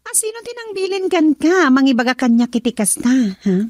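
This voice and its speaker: A woman playing a young woman who breaks off her engagement in a radio drama, her speech sharp and dismissive. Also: high voice, very animated, a little fast, dry recording, studio quality. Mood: defiant.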